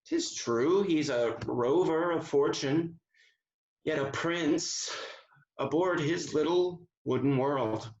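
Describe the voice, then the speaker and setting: A man plays a loyal, courteous gentleman in a period stage comedy. His fairly high voice moves slowly and earnestly, in a roomy, slightly muffled recording. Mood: earnest polite. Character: courteous, loyal English gentleman defending his roving friends. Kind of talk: classical stage comedy acting.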